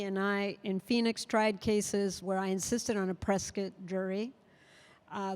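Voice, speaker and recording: Woman, federal defense panelist, earnest, filler-heavy, mid-pitched, long hall reverb. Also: darkish tone. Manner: earnest, thoughtful, with frequent fillers. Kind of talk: panel discussion answer. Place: conference hall.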